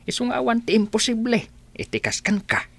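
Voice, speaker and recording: A woman playing a gentle, worried old father, speaking slowly and earnestly with an Ilocano accent in a radio-style drama. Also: on the high side, big pitch swings, no echo. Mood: tender, solemn. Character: gentle, worried old father advising his grown child.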